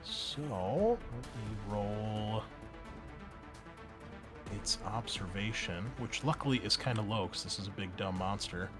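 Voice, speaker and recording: Low-voiced man running a tabletop game. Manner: halting, conversational improvised narration. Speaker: game master. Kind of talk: narrating a tabletop roleplaying game. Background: background music.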